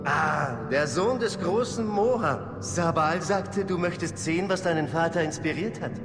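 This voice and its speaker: A man plays a serene video game monk guiding a pilgrim in ceremonial, measured phrases. His voice is fairly high and heard at a distance in a very echoey hall, with loud music behind it. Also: lightly acted. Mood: solemn, reverent. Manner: measured, ceremonial, gentle teaching. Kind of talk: reverent video game narration in German dub.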